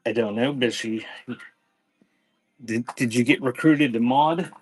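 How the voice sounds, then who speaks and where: A male live auction host with a mid-pitched voice. He announces each item in brief, clipped phrases. Between lots he slips into casual chat with the people watching. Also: measured pace, breathy edge. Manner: clipped announcements mixed with casual asides to viewers. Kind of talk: live-stream sales auction, calling out items in short phrases.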